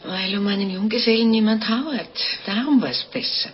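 An actress in a 1950s German radio drama playing a French innkeeper's wife who confesses murders in a calm, guileless, chatty way. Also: female voice, medium pitch, normal speed, natural voice. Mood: matter-of-fact, reminiscing. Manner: matter-of-fact, guileless, chatty. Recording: dull, noisy old radio recording.

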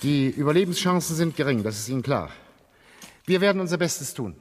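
An actor playing an overworked, weary hospital surgeon who speaks curtly and gruffly in a German radio play. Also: male voice, fairly high pitch, lively intonation, fairly quick. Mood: weary, blunt. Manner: curt, gruff. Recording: long reverberant hall.

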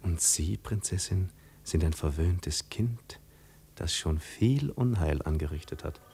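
Suave, ironic adventurer prince. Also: male voice, sits low, expressive, slow, vocal fry, dark tone, a little hiss or hum, heavily acted. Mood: suave, measured. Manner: suave, formal, dryly ironic. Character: cool, ironic, self-assured adventurer prince, worldly-wise. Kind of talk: 1950s German radio play acting.

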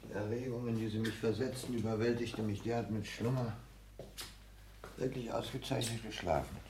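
An actor playing a frail, fussy barber lodging in a household, politely stilted, in a 1960s German radio play. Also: male voice, fairly low pitch, steady tone, normal speed, a little mellow, close to an everyday voice. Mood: polite, hesitant. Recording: faintly noisy 1960s radio recording.

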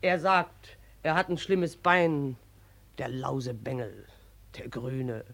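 Creaky, scolding old river spirit. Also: female voice, rumbling low, animated, slow pace, exaggerated voice. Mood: calling out, bossy. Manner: coarse, commanding, scolding. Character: gruff, bossy old river spirit, motherly but scornful. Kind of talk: 1940s radio drama acting.

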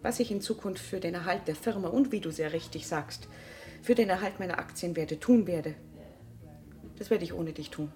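A woman's voice: on the low side, normal speed, warm tone, lightly acted. An actress playing a scornful, bitter wife and mother in a German radio drama, speaking in a cutting, accusing way. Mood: cold, resolute. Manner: accusing, cutting, emphatic repetition.

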